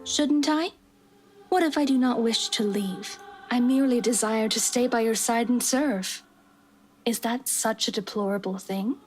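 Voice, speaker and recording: A woman's voice: on the high side, measured pace, a little mellow, soft background music, voice pushed up, natural voice. A woman voicing an eerie, obsessively devoted female servant in a horror visual novel, with formal, old-fashioned politeness. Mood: pleading, devoted. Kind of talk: horror visual novel character read-aloud.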